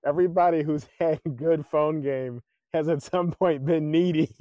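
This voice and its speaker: A man hosting a casual interview podcast, playful and quick to laugh as he asks questions. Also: fairly high pitch, fairly even intonation, dark tone, dry recording. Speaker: podcast host.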